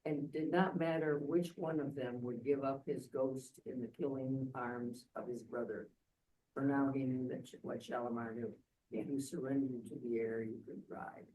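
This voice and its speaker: A man's voice: mid-range voice, fairly even intonation, moderate pace, warm tone, a little hiss or hum. An older man in a casual book group, quoting passages from a novel. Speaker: book club participant. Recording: distant from the microphone.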